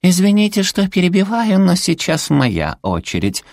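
A man's voice: sits high, huge pitch swings, moderate pace, gravelly, darkish tone, dry recording, clean studio sound, pitched above the performer's own voice, heavily acted. A man voicing a cute, small, furry female villain in an audiobook, sweetly polite and pouty with gushing manners. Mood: chirpy, polite.

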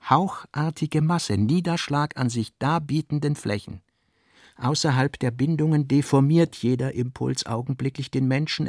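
Actor as serious male narrator for a literary radio drama, creaky voice, super dry studio sound, measured n solemn. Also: medium pitch, darkish tone, dry recording, natural voice. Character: grave male narrator of a philosophical novel.

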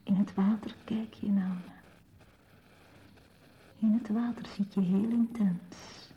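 A woman's voice: medium pitch, steady tone, slow pace, slight background noise, natural voice. An actress playing a grave, dreamy woman in a poetic radio play, speaking solemnly and lyrically in a dull lo-fi recording. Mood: dreamy, hushed.

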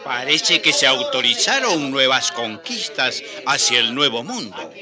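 Lively, soothing companion. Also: male voice, medium pitch, measured pace, over a noisy crowd. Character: genial, soothing companion of an old friar. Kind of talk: radio drama acting.

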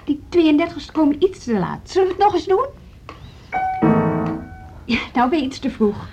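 An actress playing a principled, polite but firm music teacher in a Dutch radio drama, hesitant yet insistent. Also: female voice, high-pitched, very animated, normal speed. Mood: polite, direct.

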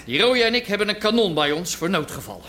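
An actor playing a menacing, sadistic gang boss in an audio drama, taunting and commanding. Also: male voice, sits high, big pitch swings, fast talker, bright, forceful tone, exaggerated voice.

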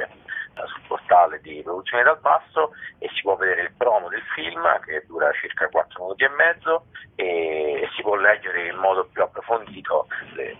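A man answering interview questions as a documentary director, explaining in a halting way, searching for words. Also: deep voice. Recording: thin, telephone-like radio line.

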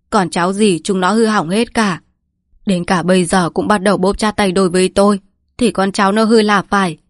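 A woman's voice: fairly low pitch, a little mellow, dry recording, close to an everyday voice. Storybook role: frail, dying old family patriarch read by a woman, rambling, bitter and mournful, very dry close sound. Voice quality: weak, breathy, trembling delivery. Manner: lamenting, rambling, scolding.

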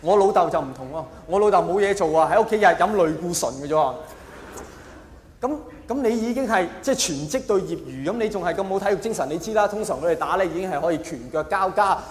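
A man's voice: high-pitched, lively intonation, quick pace. A man with a Hong Kong Cantonese accent delivering a colloquial stand-up monologue, full of exaggeration and rhetorical questions. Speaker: stand-up comedian. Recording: echoey hall, faint background noise.

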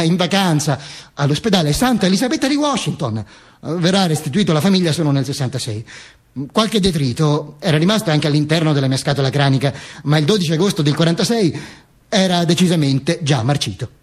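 A man acts out a dead dictator narrating his own afterlife in a radio play. The voice sits high for a man. The delivery is theatrical and ironic, breaking into halting, chatty asides and leaning on repeated phrases. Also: expressive, close to an everyday voice. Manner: wry, halting, chatty asides with pointed repetition. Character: dead dictator's corpse recounting its own posthumous travels, wry. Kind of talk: theatrical first-person monologue on radio.

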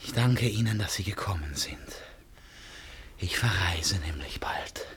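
An actor playing a feverish, tormented man in a 1950s German radio drama, theatrical, moving between hushed confiding and outbursts. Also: deep voice, lively intonation, a little slow, slight background noise. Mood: hushed, brooding. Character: feverish, tormented man, accusing and self-mocking, near breakdown.

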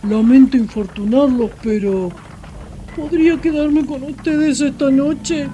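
A woman's voice: high voice, highs cut off. A woman voicing a courteous, apologetic stranger in a fantasy radio drama, with a formal manner. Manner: polite, formal, deliberate.

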